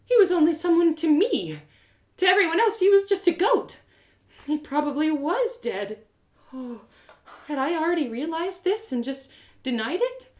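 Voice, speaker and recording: A woman's voice: sits high, very animated, normal speed, roomy sound, pitched above the performer's own voice. A woman voicing an earnest, emotional young girl adventurer in an audio drama, pleading and wailing. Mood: distraught. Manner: emotional, pleading, swinging to loud wails.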